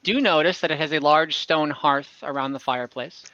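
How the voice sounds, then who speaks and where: A man acting as game master, describing scenes conversationally and haltingly, often rephrasing as he improvises. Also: on the high side, bright, forceful tone, lo-fi sound. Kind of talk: improvised narration of an online tabletop game.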